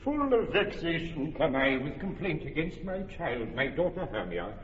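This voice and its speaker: A man's voice: fairly high pitch, very animated, moderate pace, dull audio, a little hiss or hum. An actor playing an angry older father who declaims his complaint against his daughter in an old radio play. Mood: indignant. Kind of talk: old radio verse drama. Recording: distant roomy old radio recording.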